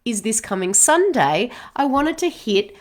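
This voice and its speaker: A woman's voice: fairly high pitch, sing-song, unhurried. A woman in her thirties from Australia, with an Australian accent, hosting a casual weekly live Q&A for parents from a home office, chatty and upbeat with frequent fillers. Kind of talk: casual livestream advice talk. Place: home office room, indoors.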